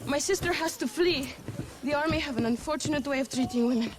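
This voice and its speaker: Woman playing a high-voiced young Mexican revolutionary. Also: brisk, natural voice. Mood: earnest, resolute. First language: Spanish. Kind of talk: video game voice acting. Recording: music behind the voice.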